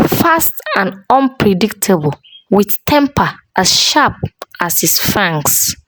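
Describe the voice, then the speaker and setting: A woman storyteller narrating a scripted folktale in a measured, dramatic way with deliberate pauses. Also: medium pitch, no echo, low-quality recording.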